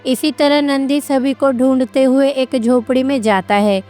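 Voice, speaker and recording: A woman's voice: high-pitched, steady tone, a little slow, warm tone, faint music behind. A woman narrating a scripted devotional story as a storyteller.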